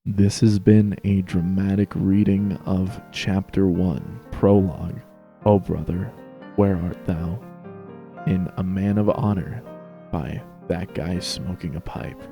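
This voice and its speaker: A man's voice: sits low, fairly even intonation, takes it slowly, vocal fry, lo-fi sound, soft background music. A man narrating a dramatic reading of fan fiction.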